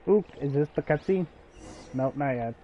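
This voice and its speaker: A man's voice: fairly high pitch, lively intonation, normal speed, mellow, a little hiss or hum. A man chatting casually as he live-streams a video game. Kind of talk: casual live gameplay chat. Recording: dull, lo-fi stream audio.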